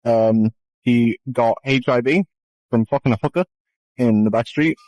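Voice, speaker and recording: A man's voice: mid-range voice, measured pace, a little mellow, dry recording, lo-fi sound. Crude mock-showman man, dry close sound. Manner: mock showman patter, crude swearing, rambling. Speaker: puppet show performer. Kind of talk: improvised comedy puppet show in social VR. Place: online VR chat session.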